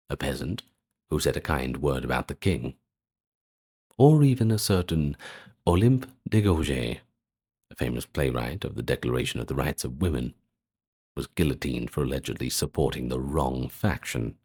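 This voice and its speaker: A man narrating a scripted history lecture in an ASMR style, measured and explanatory, with brief asides. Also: rumbling low, darkish tone, breathy edge.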